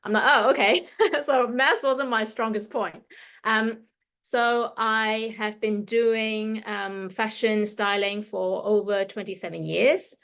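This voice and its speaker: A woman's voice: mid-range voice, fairly even intonation, slow pace, vocal fry, a bit bright. Woman, 50s, Hong Kong-London accent, chatty stylist giving a workshop over a computer mic. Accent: Hong Kong and London English. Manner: chatty, loose, with fillers and restarts. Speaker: fashion stylist leading a workshop. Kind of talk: casual presentation to an audience.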